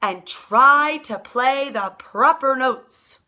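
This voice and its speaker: Woman putting on a sharp, stern music maestro. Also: high voice, slow pace, exaggerated voice. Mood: stern, snappish. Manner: sharp, commanding, emphatic.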